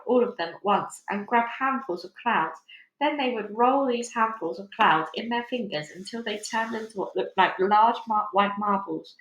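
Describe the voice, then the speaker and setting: A woman reads a children's story aloud as narrator. Her voice is medium in pitch and expressive. The recording has a clear room echo. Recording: echoey room.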